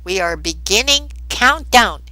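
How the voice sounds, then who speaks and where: A woman's voice: mid-range voice, expressive, unhurried, sharp tone, no room sound, low-quality recording. A woman voicing a confident male official in an audiobook, emphatic and matter-of-fact as he reassures and insists. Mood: firm, impatient.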